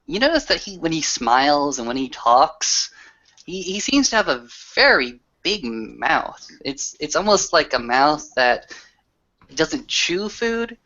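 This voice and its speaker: A man narrating as game master in a casual, halting way, searching for his descriptions. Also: fairly high pitch, very animated, pushed and bright. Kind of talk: tabletop roleplay narration, casual. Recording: muffled, lo-fi online voice call.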